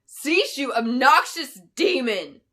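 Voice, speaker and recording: A cruel fantasy villainess, played by a woman reading aloud, bright and forceful in tone. She rages and commands, stretching her words into loud, imperious threats. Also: sits high, lively intonation, pitched above the performer's own voice, heavily acted. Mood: enraged. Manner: loud, drawn-out, commanding shouts and hisses.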